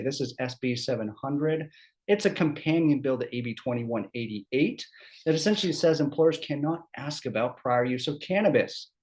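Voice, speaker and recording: A man's voice: medium pitch, darkish tone. Guy with a scratchy cold throat running an hr law seminar, chatty, keeps saying right?. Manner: conversational, quick asides, keeps asking "right?". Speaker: employment law presenter. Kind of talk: HR seminar presentation.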